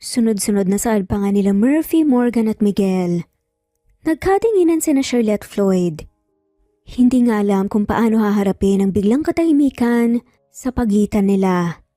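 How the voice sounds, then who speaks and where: A woman's voice: medium pitch, lively intonation, normal speed, dark tone, no echo, studio quality, soft background music. A woman with a Filipino accent narrating a scripted dramatic story. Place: dry close recording, music bed behind.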